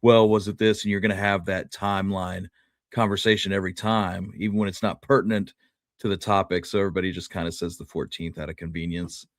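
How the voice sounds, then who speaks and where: Deep-voiced man co-hosting a history discussion. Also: flat delivery, quick pace, no echo, studio quality. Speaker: history show co-host.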